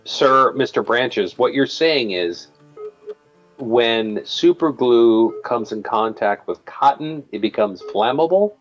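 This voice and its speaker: A man co-hosting a live call-in show, asking questions in a halting way, stumbling and repeating himself. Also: medium pitch, big pitch swings, lo-fi sound, faint music behind. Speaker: show co-host. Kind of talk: casual call-in banter.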